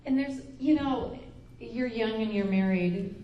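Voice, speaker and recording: A woman's voice: on the high side, unhurried, a little hiss or hum. An older woman, a pastor's wife, speaking conversationally at a workshop. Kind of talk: conference workshop talk. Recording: dull, roomy recording from far off.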